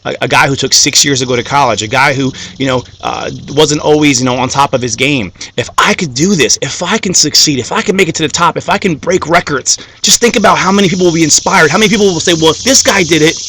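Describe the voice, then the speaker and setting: Fast, bright-voiced motivational man. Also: fairly high pitch, slight background noise. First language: Greek. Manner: repeats "okay?" and "guys", builds to rising fired-up climax. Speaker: motivational speaker. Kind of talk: motivational talk to followers.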